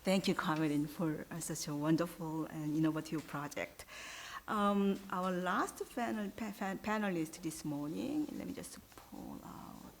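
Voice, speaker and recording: A woman's voice: fairly low pitch, unhurried, a little hiss or hum. A woman moderating an academic panel, reading out speaker introductions and stumbling a little. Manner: reads out bios, stumbles a little.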